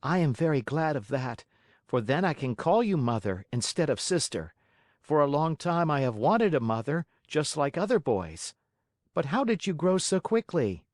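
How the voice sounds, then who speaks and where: A man voicing a whiny, eager marionette boy in a storybook, with a high childlike voice and pleading, dramatic delivery. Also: very animated, moderate pace, a little mellow, no echo, clean studio sound. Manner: pleading, emphatic, exclaiming. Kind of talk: audiobook character acting.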